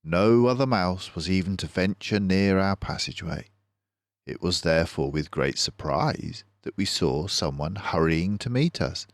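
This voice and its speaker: A man's voice: sits low, expressive, measured pace, dry recording. An older man reading a children's book aloud as a storyteller.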